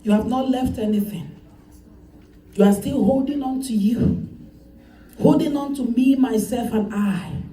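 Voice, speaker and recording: High-pitched woman preaching in an echoey hall. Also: a little slow, pauses often, warm tone. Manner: preaching with call-and-response, emphatic repetition. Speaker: pastor. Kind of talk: sermon. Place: church hall. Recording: echoey church hall, voice from far off.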